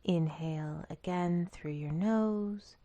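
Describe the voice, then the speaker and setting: A woman leading a guided meditation in a calm, gentle, instructional manner. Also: lowish voice, slow, dull audio. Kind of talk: guided body scan meditation.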